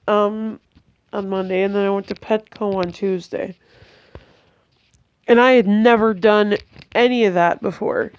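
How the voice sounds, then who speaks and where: A young woman talks to the camera in a casual, rambling way, stopping and restarting often. Her voice is smooth but a little congested and tired, and the sound is dry and slightly muffled, as if from a simple built-in mic at home. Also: mid-range voice, darkish tone, no room sound. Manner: hesitant, many ums and restarts, chatty. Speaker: vlogger.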